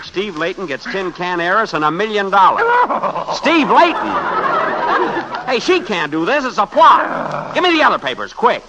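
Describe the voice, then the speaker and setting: A fast-talking newspaperman type from a 1940s radio comedy, glib and sly. His voice sits a little high and swings freely up and down. He teases and wisecracks his way along. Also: heavy background noise. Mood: alarmed, indignant.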